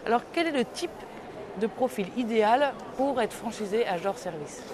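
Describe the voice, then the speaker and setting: A woman's voice: a little high, animated, normal speed. A woman interviewer putting prepared questions to a guest in a broadcast style. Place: trade fair interview stand, indoors. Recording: clearly noisy exhibition hall ambience.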